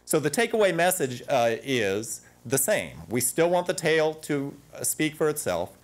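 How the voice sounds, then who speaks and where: A man in his forties giving an academic panel talk in a conference room on a lapel mic, animated and full of restarts. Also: on the high side, measured pace. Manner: animated, gesturing at slides, frequent restarts.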